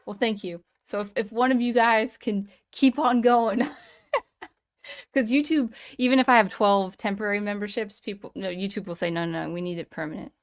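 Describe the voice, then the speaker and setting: A woman's voice: medium pitch, fairly even intonation, normal speed. Chatty woman hosting a live stream. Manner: chatty, thanks viewers by name, frequent restarts. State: voice slightly strained. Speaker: livestream host. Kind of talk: casual live-chat streaming.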